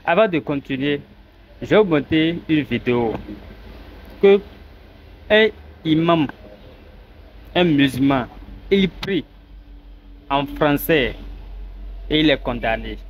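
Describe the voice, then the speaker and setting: Slow, halting man with faint background noise. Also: mid-range voice, low-quality recording. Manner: halting, phrase by phrase. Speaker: video presenter. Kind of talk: informal address to viewers.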